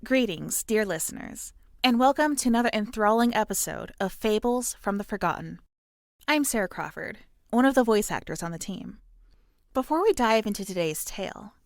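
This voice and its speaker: A woman's voice: medium pitch, vocal fry, dry recording, clean studio sound. A woman voice actor reading a scripted, formal podcast introduction.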